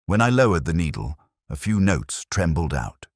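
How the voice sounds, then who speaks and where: Very deep-voiced male mystery narrator. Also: very animated, moderate pace, dry recording, clean studio sound. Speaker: narrator of a detective story. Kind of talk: scripted first-person mystery narration.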